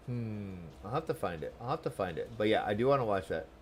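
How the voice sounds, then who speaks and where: A man's voice: fairly low pitch, expressive, quick pace, a little hiss or hum. A man giving casual, chatty live gameplay commentary as a streamer, reacting informally. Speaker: game streamer.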